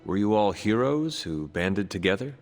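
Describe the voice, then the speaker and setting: An earnest, reserved video game hero, with a deep voice. He puts questions in a plain, polite, measured way over background music. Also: lively intonation. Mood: curious, calm. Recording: music underneath.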